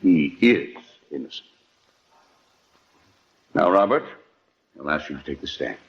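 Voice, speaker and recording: Unflappable male defense attorney: deep, measured, assured, 1940s radio drama with muffled vintage sound. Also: expressive, noisy background. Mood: earnest, formal. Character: confident, unflappable male defense lawyer, protective of client. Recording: muffled old radio recording.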